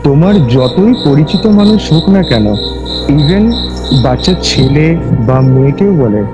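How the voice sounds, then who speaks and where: A man tells a personal story conversationally, haltingly, often repeating his phrases. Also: a little high, lively intonation, rough recording. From West Bengal, India. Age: 20s. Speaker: guest recounting a personal ghost experience. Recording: music bed with cricket and insect sounds.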